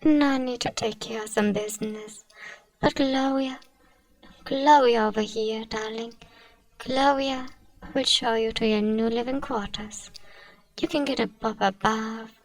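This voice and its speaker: Woman acting a slow, soothing, genteel vampire mistress. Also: on the high side, creaky, dry recording, recorded from a distance, low-quality recording. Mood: affectionate, welcoming. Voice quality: soft, often slipping into whispers. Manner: soothing, coaxing, genteel endearments. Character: kind, genteel, doting female vampire mistress. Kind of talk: ASMR roleplay.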